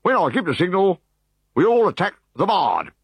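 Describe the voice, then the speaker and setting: A man's voice: high voice, huge pitch swings, normal speed, gravelly, slightly rough, no room sound, voice pushed up, exaggerated voice. A man doing a blustering Roman officer. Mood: bossy. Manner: shouting, exasperated.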